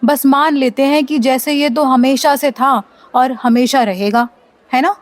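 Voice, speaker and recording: Curious college girl in a radio play, high voice, polite and full of questions. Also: steady tone, unhurried. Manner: inquisitive, polite. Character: curious, respectful young female university student questioning her teacher.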